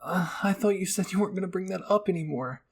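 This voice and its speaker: A man's voice: sits high, fast talker, creaky, breathy edge, pitched above the performer's own voice, heavily acted. A man voicing an earnest, easily flustered young woman soldier in an amateur anime roleplay. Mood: wailing, embarrassed.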